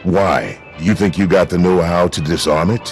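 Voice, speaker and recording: A man playing a gruff, folksy frontier sheriff and mayor in a video game, plainspoken and authoritative. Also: deep voice, normal speed, a little mellow, soft background music. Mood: businesslike, wary. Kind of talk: video game character dialogue.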